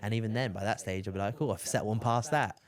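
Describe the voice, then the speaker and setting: Young guy from the southeast, podcast host, lots of "like" and "isn't it", bit low voice. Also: fast talker. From England. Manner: rambling, lots of fillers and tag questions. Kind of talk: casual podcast chat.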